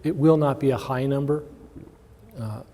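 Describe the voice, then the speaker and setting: Mellow-voiced male presenter in a reverberant hall. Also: medium pitch, normal speed. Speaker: conference presenter. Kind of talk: informal conference talk with audience questions. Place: large indoor conference room with long reverb.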